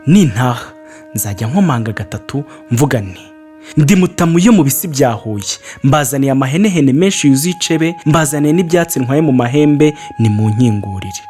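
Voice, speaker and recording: A man's voice: mid-range voice, lively intonation, brisk, darkish tone, soft background music, close to an everyday voice. Man voicing a protective mother goat, musical backing. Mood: cautioning. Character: mother goat, protective, instructing her kids. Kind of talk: children's storybook reading.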